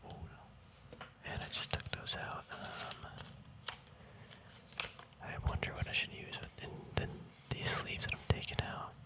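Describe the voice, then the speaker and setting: A man whispering casual ASMR to viewers in a breathy, unvoiced voice, rambling and thinking aloud without hurry, very close to the mic. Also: measured pace, long pauses. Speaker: ASMR host. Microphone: mic very close to the mouth and nose.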